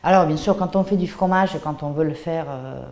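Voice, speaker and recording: A woman's voice: deep voice, brisk, a little mellow, reverberant. A woman in her thirties from southern Italy, speaking with an Italian accent in a hesitant, think-aloud way as she explains her craft. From Puglia, southern Italy. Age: 30s. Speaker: artisan cheesemaker and shop founder. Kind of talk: personal interview account of her craft.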